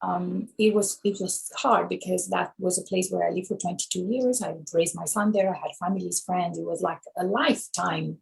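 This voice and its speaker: A woman from Romania, a guest author, answering interview questions thoughtfully with many pauses and ums. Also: lowish voice, fairly even intonation, vocal fry, husky, low-quality recording.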